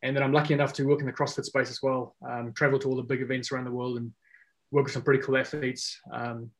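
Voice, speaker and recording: Relaxed man, casual. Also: medium pitch, fast talker. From South Africa. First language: Afrikaans. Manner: rambling, many fillers and restarts. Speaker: guest. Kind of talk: casual podcast chat.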